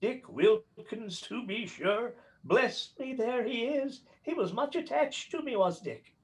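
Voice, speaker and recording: A man's voice: extremely high pitch, animated, slow pace, heavily acted. A man playing an old miser emotionally revisiting his past, exclaiming as he goes, in a radio play. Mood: delighted.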